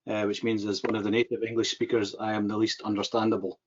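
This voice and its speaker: Scottish man, middle-aged, low-pitched presenter with a steady, halting delivery, recorded over a muffled video call. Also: a little hiss or hum. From Scotland. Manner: measured, many "uh" pauses. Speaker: government mental health advisor. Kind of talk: webinar presentation. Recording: dull lo-fi video call audio.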